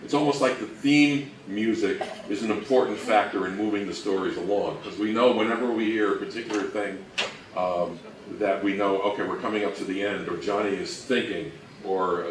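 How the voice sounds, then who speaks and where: Low-voiced man presenting, recorded from afar. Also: fairly even intonation, normal speed. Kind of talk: informal conference presentation on radio history. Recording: roomy, distant mic, faint noise.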